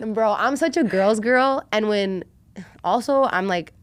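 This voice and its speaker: A woman talking casually as a podcast guest, animated and slangy, telling personal stories and acting out what people said. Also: medium pitch, a little slow, no room sound. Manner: animated, slangy, acts out quoted lines. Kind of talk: casual personal storytelling in conversation.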